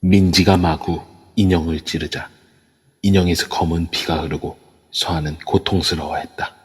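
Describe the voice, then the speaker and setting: A man narrating an amateur audio drama in a steady storytelling manner. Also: low-pitched, normal speed, husky. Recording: long echoey reverb.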